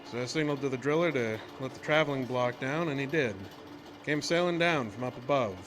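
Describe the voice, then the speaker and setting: A man's voice: medium pitch, a little fast, noisy background, close to an everyday voice. Man acting a haunted, folksy oil rig worker. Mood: grim, matter-of-fact. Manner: colloquial, folksy, haunted storyteller. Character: rough-spoken oil rig worker telling his own eerie tale. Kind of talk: old-time radio horror monologue.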